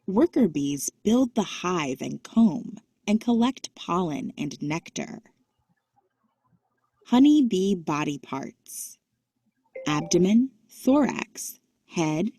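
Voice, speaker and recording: A woman's voice: lowish voice, dark tone, breathy edge, dry recording, clean studio sound. A woman reading scripted educational narration for children, carefully enunciating short, simple sentences and terms. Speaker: narrator of a children's nonfiction book.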